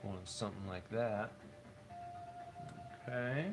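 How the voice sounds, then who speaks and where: Low-voiced man with a head cold. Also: slow pace. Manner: rambling, playful teasing. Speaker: streamer building toys. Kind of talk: casual play-by-play while building. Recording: stream with background music. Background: background music.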